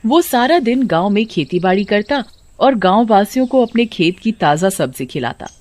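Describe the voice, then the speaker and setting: A woman's voice: mid-range voice, expressive, normal speed, a little mellow. A woman giving scripted narration of a story as its narrator.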